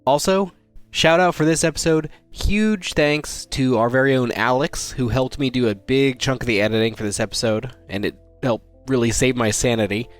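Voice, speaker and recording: A man's voice: medium pitch, moderate pace, soft background music. A man speaking as podcast host, giving an upbeat, friendly episode introduction addressed straight to listeners.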